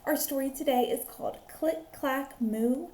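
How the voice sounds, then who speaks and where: A woman teacher reading a storybook aloud to young children in a deliberate, expressive way, recorded in a roomy space. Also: high-pitched, slight background noise. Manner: deliberate, expressive, with drawn-out phrases. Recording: roomy echo on the voice.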